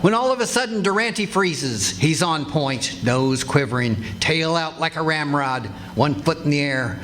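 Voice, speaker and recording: A man's voice: a little high, expressive, a little slow, fairly bright tone. A man playing a blustery, boastful, folksy husband who tells tall tales in an old-time radio comedy. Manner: folksy, grumbling, exclamatory. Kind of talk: 1940s radio comedy acting before a live audience. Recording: noisy live stage recording with clicks.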